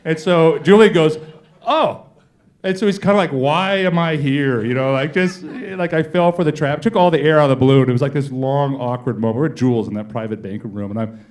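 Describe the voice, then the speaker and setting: A man being interviewed as a company executive, telling stories conversationally and acting out exchanges in informal, animated speech. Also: fairly high pitch, fairly quick. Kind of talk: conversational on-stage storytelling.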